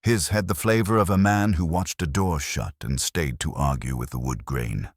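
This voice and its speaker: A man's voice: rumbling low, moderate pace, no room sound, clean studio sound. A man narrating scripted first-person detective fiction in a wry, hardboiled manner. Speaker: audiobook narrator.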